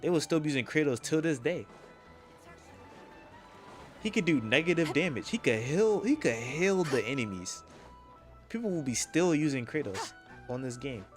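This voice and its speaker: A man's voice: a little high, lively intonation, normal speed, pauses often. A young man giving casual, opinionated, slangy commentary over his gameplay. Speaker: gaming streamer. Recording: game music behind.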